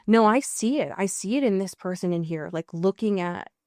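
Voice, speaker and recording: A woman's voice: mid-range voice, animated, normal speed, mellow, no echo. Female podcast co-host, mid-range voice, conversational and informal, dry recording. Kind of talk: casual podcast chat.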